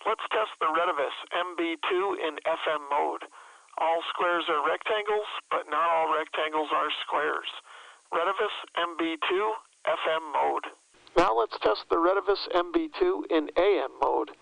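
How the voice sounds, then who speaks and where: A man making deliberate test announcements, heard through a muffled CB radio transmission. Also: a little high, gravelly, sharp tone, no room sound. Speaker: radio tester.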